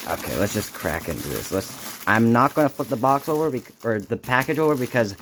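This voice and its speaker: A man hosting a casual, unscripted unboxing video, speaking haltingly and muttering to himself as he goes. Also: medium pitch, lively intonation, background noise. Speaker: video host doing an unboxing.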